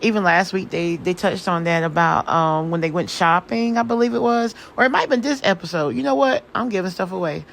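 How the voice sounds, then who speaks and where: A hoarse woman, casually recapping a reality show episode in a chatty, opinionated way. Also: lowish voice, brisk, few pauses, slight background noise. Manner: chatty, opinionated, drawling asides. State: hoarse from singing.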